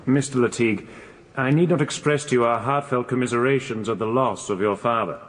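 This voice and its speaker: Actor as a courteous British barrister, echoing hall. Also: male voice, mid-range voice, brisk, natural voice. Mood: formal. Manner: polite, formal, probing. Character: courteous, slightly hesitant male defence barrister. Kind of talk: radio courtroom drama acting.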